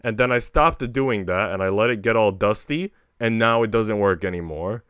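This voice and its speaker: A man's voice: lowish voice, normal speed, a bit bright, smooth, no room sound, studio quality. A man talking casually on a livestream, rambling along in slangy speech and swearing freely. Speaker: streamer reading a visual novel aloud.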